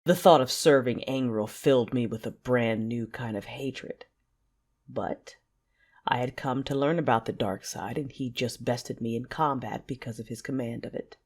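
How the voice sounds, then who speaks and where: A woman playing a proud, vengeful warrior, telling the story in intense, measured first-person narration for an audio drama. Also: very deep voice, a little mellow, husky, exaggerated voice. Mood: bitter, resolved. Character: proud, vengeful wounded warrior turning to the dark side.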